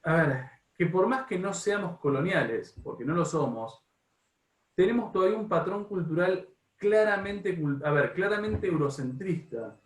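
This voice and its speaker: A man with an Argentine accent leading an informal class discussion as a teacher, often halting, repeating and rephrasing as he goes. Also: sits high, lively intonation. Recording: echoey room, distant from microphone.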